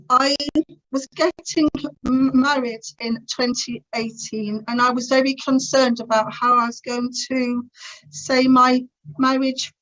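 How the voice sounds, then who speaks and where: A woman's voice: high voice, fairly bright tone, muffled recording. A woman in her fifties giving a personal conference talk over a video call, stammering with repeated sounds and blocks. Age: 50s. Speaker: conference speaker.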